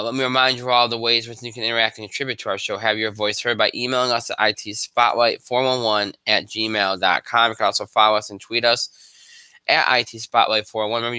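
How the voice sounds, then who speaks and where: A man's voice: sharp tone, clear, resonant tone, dry recording. Congested man hosting a sports show. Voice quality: high voice for a man. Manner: rambling with many fillers and restarts. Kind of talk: live solo sports show.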